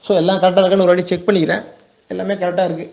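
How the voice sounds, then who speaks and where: High-pitched, quick-talking Tamil Nadu man. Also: little pitch movement, vocal fry, a little mellow, lo-fi sound. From Tamil Nadu, India. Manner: reassuring, plain-spoken, often checks in with listeners. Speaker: export business owner giving a tutorial. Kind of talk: informal spoken tutorial.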